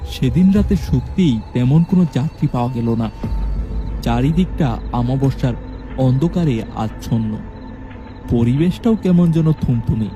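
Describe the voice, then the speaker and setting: A man narrating a scripted first-person horror story in a steady, measured way. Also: medium pitch, lo-fi sound.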